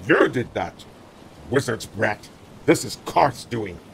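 A man's voice: on the low side, lively intonation, normal speed, a little mellow, slight background noise, pitched above the performer's own voice, lightly acted. A male narrator voicing a big, wary warrior with a dry, teasing manner for an audiobook. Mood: angry. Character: big gruff warrior man, wary and sardonic. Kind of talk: audiobook character voice.

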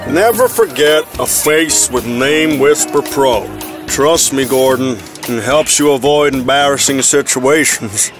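A pushy salesman from a futuristic advert acted by a man in an audio play. His pitch is mid-range and music plays clearly behind him. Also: measured pace, natural voice. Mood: ingratiating. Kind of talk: audio drama acting.

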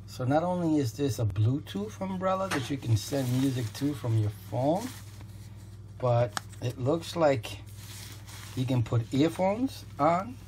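Male reviewer, mid-pitched, casual and tentative, talking through a gadget indoors over noticeable background noise. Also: mellow. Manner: tentative, thinking aloud. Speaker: product reviewer. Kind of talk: casual unboxing commentary. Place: indoors, at a kitchen counter. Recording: clearly noisy home recording.

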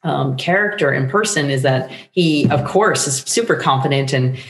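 A middle-aged woman talking casually as an interview guest, animated, with meandering, halting phrasing. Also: extremely low pitch. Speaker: guest playwright. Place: book-lined room at home, indoors. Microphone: shared desktop microphone on video call. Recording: roomy home recording.

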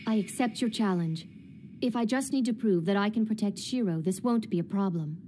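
A woman voicing a composed, proud, dutiful young female protector in an anime dub, formal and calm. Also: mid-range voice, natural voice. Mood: calm, confident. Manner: formal, calm, measured wording. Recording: music under the voice.

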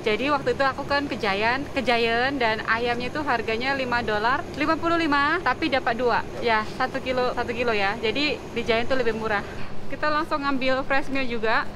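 A woman's voice: a little high, moderate pace. A woman vlogging casually to her viewers while shopping in a supermarket and market, chatty and upbeat, filmed on a handheld camera. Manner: chatty, upbeat, giggly, addresses viewers as guys. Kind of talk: casual shopping vlog chat. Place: supermarket and outdoor market stalls. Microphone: handheld wide-angle camera at arm's length. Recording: busy store noise behind. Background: shop and market bustle.

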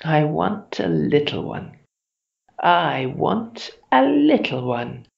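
A vain, scheming monster queen from a children's tale, acted out by a storyteller. She boasts and sneers in slow, hissing phrases with a creaky edge. The recording is dull and muffled. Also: medium pitch, sing-song, roomy sound, full character voice. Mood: hungry, sly. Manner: boastful, drawn-out, snorting and hissing.

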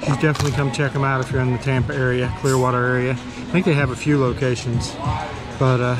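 A man's voice: mid-range voice, little pitch movement, quick pace, music in the background, rough recording. A man in his fifties vlogging casually, talking to an action camera at arm's length. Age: 50s. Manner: chatty, laid-back tour-guide talk. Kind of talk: casual vlog narration. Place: indoor go-kart venue and home garage. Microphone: action camera held at arm's length.

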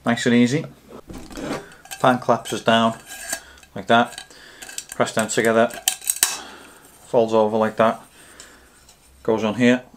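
A man's voice: medium pitch, little pitch movement, takes it slowly, long pauses, a little hiss or hum. A man in his thirties or forties giving a casual, matter-of-fact gear review to camera. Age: 30s to 40s.

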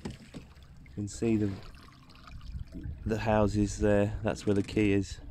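A man's voice: lowish voice, completely flat delivery, slow, mellow, a little hiss or hum. A middle-aged man from England talking cheerfully to an action camera while sailing a small dinghy outdoors, chuckling as he goes. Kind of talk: casual running commentary. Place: outdoors on a small sailboat on a tidal harbour. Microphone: action camera on the boat.

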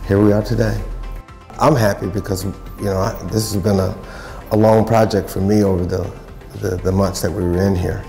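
An older man, a building contractor, talking plainly and haltingly about a renovation, on a lapel mic. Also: on the low side, creaky, mellow. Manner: plain-spoken, halting, many fillers. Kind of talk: documentary interview. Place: renovated house, indoors.

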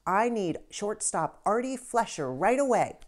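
A woman's voice: medium pitch, sing-song, a little slow, pitched above the performer's own voice. Woman playing a mischievous young boy. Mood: gleeful. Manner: earnest promising.

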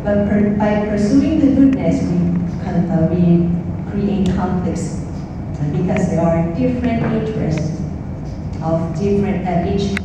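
Slow, halting woman nun, voice lowish, caught from across a noisy, echoing temple hall. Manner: halting, searching for words, laughs often. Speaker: Buddhist nun panelist. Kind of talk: panel discussion answer. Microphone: handheld mic. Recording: echoey hall from far off, noisy.

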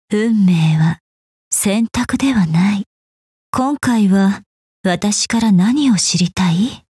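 A serene, mysterious fortune-teller from a video game. Her voice is very breathy and soft, with a dark, velvety tone. She speaks slowly and elegantly, very close and dry. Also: medium pitch, no room sound, heavily acted. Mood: enigmatic, composed. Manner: slow, poised, mysterious. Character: serene, enigmatic fortune-telling woman, elegant keeper of memories. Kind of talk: scripted game voice lines.

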